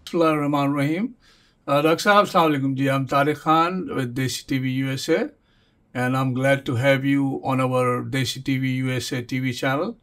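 A man's voice: mid-range voice, fairly even intonation, fairly quick, fairly bright tone. A man hosting a TV interview, asking questions hesitantly with many fillers and restarts. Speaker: interviewer, TV host.

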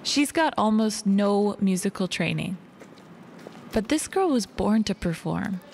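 A woman reads documentary narration with a slightly gravelly texture. Her delivery is scripted and even, at a middle pitch, over a faint hum. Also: a little slow.

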